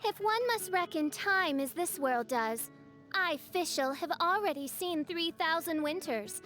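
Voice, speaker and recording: Game role: high-pitched teen girl, self-crowned princess of night, grandiose, haughty, archaic theatrical delivery. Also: expressive, unhurried, fairly bright tone, soft background music, exaggerated voice. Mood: haughty, solemn. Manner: pompous, archaic, theatrical diction. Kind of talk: video game character voice lines.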